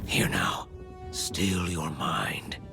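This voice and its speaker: An actor playing a solemn, earnest captive scholar in a video game drama, with measured, theatrical delivery. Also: male voice, low voice, big pitch swings, vocal fry, music in the background, full character voice. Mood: hushed, soothing. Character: solemn captive scholar man reading scripture with earnest hope.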